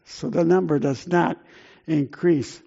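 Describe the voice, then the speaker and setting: Man raised speaking italian, missionary, earnest and halting, slightly high voice, gravelly, dull recording. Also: steady tone, dark tone. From Italy. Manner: earnest, halting with many fillers. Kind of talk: missionary talk to a church.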